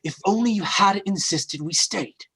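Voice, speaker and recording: An actress playing an anxious woman, nervous and halting. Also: medium pitch, a bit bright, slightly rough. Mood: comforting. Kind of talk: old time radio drama acting.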